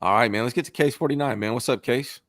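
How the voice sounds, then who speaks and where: A man's voice: medium pitch, fast, a bit bright, dry recording. A man hosting a sports call-in show, easygoing and affirming with callers. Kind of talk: casual sports talk.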